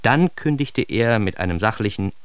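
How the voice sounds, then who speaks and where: A man's voice: on the low side, animated, normal speed, close to an everyday voice. An actor playing a grown son narrating his embarrassed adolescence in a radio drama, with an ironic, mock-formal, theatrically indignant delivery. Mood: wry, confessional.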